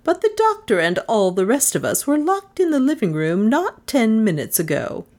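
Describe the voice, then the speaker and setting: A woman's voice: on the high side, sing-song, moderate pace, darkish tone, pitched above the performer's own voice. A woman reader voicing a stubborn, proud elderly woman who speaks firmly and insistently. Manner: firm, insistent.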